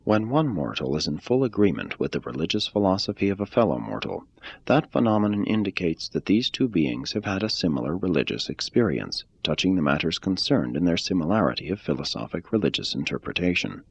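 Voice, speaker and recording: A man reading a religious text aloud in a measured, deliberate way. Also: low voice, big pitch swings, creaky, husky. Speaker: reader.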